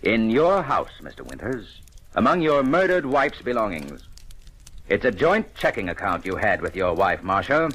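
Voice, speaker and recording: An actor playing a shrewd, relentless police inspector, questioning deliberately and pointedly in 1940s radio detective style. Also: male voice, on the low side, sing-song, a bit bright, breathy edge, exaggerated voice. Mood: accusing.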